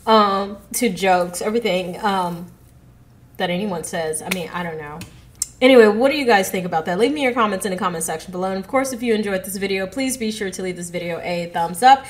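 A woman's voice: mid-range voice, fairly quick, a little hiss or hum. Stuffy-nosed woman vlogging from home. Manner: chatty, giggly, addresses viewers directly. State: stuffy, congested nose. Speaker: reaction video host. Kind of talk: casual chat to camera. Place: living room at home, indoors. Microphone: earbud headset mic.

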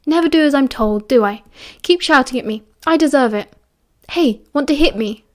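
A woman voicing a playful, restless young male wizard, bright and teasing. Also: lively intonation, normal speed. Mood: cheeky, playful. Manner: bright, bouncy, teasing, high-pitched.

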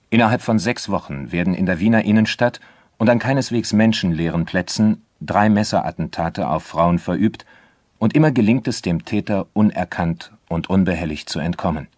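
An actor as a matter-of-fact crime narrator, reading scripted documentary narration in a measured, formal manner. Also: male voice, sits low, a little mellow, no echo, studio quality. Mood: sober, measured.

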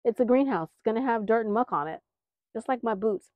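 A woman's voice: on the high side, expressive, a little fast, no echo, phone-quality sound. An American woman giving a casual, rambling vlog update, chatty, folksy and a little apologetic, talking as she films around her backyard garden. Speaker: gardening vlogger, host.

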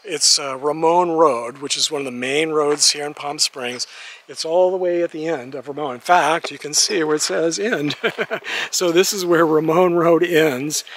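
A man's voice: on the high side, normal speed, a bit bright. A man chatting casually as he guides a walking tour outdoors, laughing often. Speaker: vlogger walking-tour host. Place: outdoors on a neighborhood street at dawn, walking.

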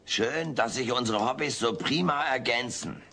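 A man's voice: medium pitch, normal speed, gravelly, sharp tone. A man voicing a cheeky, vain little furry alien with sarcastic, mock-pompous delivery in a German sitcom audio drama. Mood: cheeky, theatrical. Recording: faint noise, old cassette audio drama.

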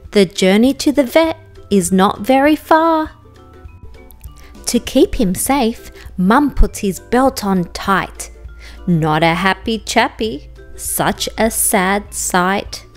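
An Australian woman with a fairly high voice reads a children's storybook at a very slow pace. She narrates expressively in careful rhyme over a music bed. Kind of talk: children's picture book read aloud, scripted rhyming narration.

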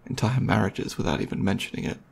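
A man playing a caring, goofy, slightly nervous boyfriend in an ASMR roleplay, chatting intimately and hesitantly to the listener with a husky, slightly gravelly voice. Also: fairly low pitch, fast talker. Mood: earnest, hesitant. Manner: hesitant, rambling, playful.

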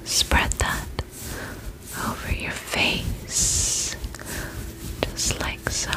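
A woman playing a gentle, soothing vampire spa attendant in an ASMR roleplay, speaking in a breathy whisper with soft, attentive reassurance. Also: drawn-out words, low-quality recording. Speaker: ASMR performer.

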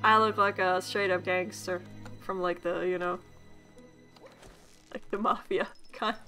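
A woman's voice: fairly low pitch, steady tone, a little slow, pushed and bright, soft background music. A woman from Sweden with a mild Swedish accent, chatting casually and swearing as she streams a game with rambling asides. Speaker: VTuber streamer. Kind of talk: casual gameplay commentary.

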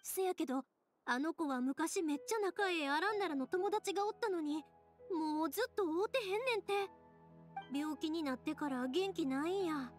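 A kind, cheerful young woman from an anime game, with a high-pitched voice. She speaks in a folksy Kansai accent, earnest and expressive, over faint background music. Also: moderate pace. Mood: pleading, compassionate. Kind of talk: anime game dialogue acting.

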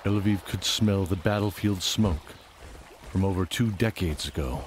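A man narrating a scripted fantasy drama in a measured, deliberate, dramatic way. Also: low-pitched, slight background noise.

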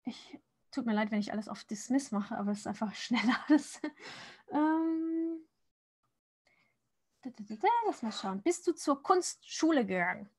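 A woman in her thirties from Japan chats casually through a drawing-stream Q&A, her voice sitting a little high. She rambles with fillers and restarts. The sound is dry and close, from a desk microphone. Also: expressive, moderate pace, long pauses, a little mellow, dry recording. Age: 30s. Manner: chatty, many fillers and restarts, frequent laughs. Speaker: comic artist answering viewer questions. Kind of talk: casual livestream Q&A while drawing.

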